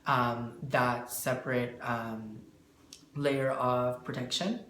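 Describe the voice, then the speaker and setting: Male interviewee, bookkeeper explaining business matters, halting delivery that searches for words, echoey room with faint noise. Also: medium pitch, steady tone, a bit bright. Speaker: bookkeeper answering questions.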